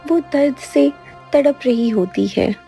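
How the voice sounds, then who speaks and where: A woman's voice: high voice, slow, creaky, dark tone, faint music behind. A woman narrator reading a scripted dramatic fairy tale as a storyteller.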